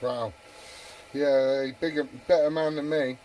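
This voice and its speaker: A man's voice: fairly high pitch, steady tone, a little slow. British man on very noisy CB radio. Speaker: CB radio operator. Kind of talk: casual radio chat, asking questions. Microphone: two-way radio microphone.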